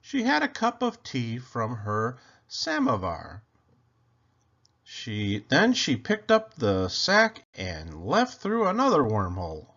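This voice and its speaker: Slow, very animated male storybook reader. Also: medium pitch, lo-fi sound. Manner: theatrical, deliberate phrasing. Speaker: picture book reader. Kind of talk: expressive children's story read-aloud.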